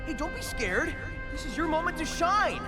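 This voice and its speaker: A high, animated young hedgehog hero. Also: normal speed. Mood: upbeat, reassuring. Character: upbeat, reassuring young male blue hedgehog hero. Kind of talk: animated video game voice acting. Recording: game audio under loud music.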